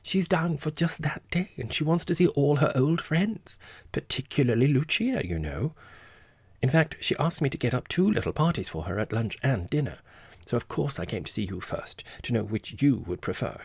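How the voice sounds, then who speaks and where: A man voicing an eager, deferential gentleman friend in an audiobook, fussy, polite and coaxing in a gossipy way. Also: medium pitch, sing-song, normal speed, vocal fry, slightly rough, dry recording, pitched above the performer's own voice, exaggerated voice. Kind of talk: audiobook character dialogue.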